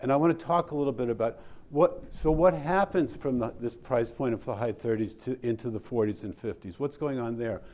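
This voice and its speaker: Man giving a slide talk, mellow tone, middle pitch, lots of restarts. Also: a little fast. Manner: explains slides, polls the audience, many restarts. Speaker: presenter at an industry session. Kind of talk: presentation with audience questions.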